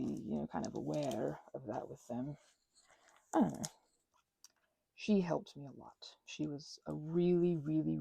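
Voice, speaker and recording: A woman with a breathy, soft-edged voice rambling intimately and casually, halting and interrupting herself as she reflects. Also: low voice. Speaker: craft vlogger and ASMR creator. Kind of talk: rambling, intimate casual chat while crafting.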